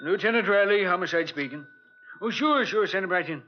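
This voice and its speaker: An actor playing a gruff, businesslike homicide lieutenant, blunt and colloquial, in an old radio crime drama. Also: male voice, high-pitched, animated, brisk. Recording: noisy, dull old radio recording.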